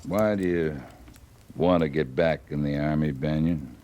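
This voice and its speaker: An actor playing a terse, stern, skeptical cavalry captain in an old radio western. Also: male voice, rumbling low, a little slow, warm tone. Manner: terse, authoritative. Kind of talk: 1950s radio drama acting. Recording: old radio recording with faint noise.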